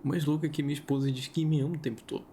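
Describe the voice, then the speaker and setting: A man's voice: fairly high pitch, fairly even intonation, very fast, dark tone, slight background noise. A man with a Brazilian accent casually telling stories in an informal, chatty way, with conversational asides. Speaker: host of a story-reading video channel. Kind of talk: casual chatty commentary and storytelling.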